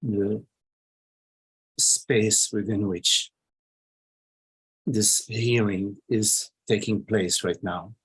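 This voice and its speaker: A man leading a guided meditation as a teacher, speaking in short phrases with long pauses between them. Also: fairly low pitch, steady tone, breathy edge, rough recording.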